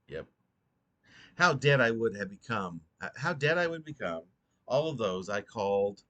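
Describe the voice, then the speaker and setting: A man reading his own poem aloud in an earnest, rhetorical, dramatic recitation with a halting delivery. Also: on the low side, a bit bright, lo-fi sound.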